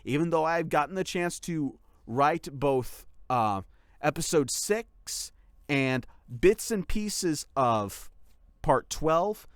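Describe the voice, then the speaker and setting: A man's voice: on the high side, lively intonation, takes it slowly, smooth, no room sound, clean studio sound. A man speaking casually as a channel host, giving a rambling, explanatory update to followers. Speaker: ASMR voice actor and channel host.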